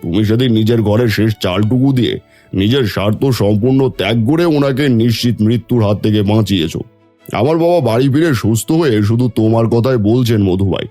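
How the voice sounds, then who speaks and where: A man voicing a dignified, grateful landlord in an animated melodrama, solemn and formal. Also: fairly low pitch, brisk, faint music behind, natural voice.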